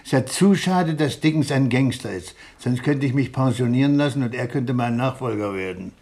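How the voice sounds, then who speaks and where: An actor playing a police chief inspector, brisk in command yet wryly weary and conscience-ridden, in a satirical German radio crime play. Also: male voice, mid-range voice, darkish tone, no echo, natural voice. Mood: rueful.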